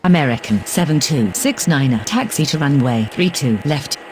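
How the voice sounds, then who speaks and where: Game radio operator, male actor: businesslike man, higher register, formal phraseology, light background noise. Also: big pitch swings, moderate pace. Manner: formal, procedural. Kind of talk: clipped, scripted radio phraseology.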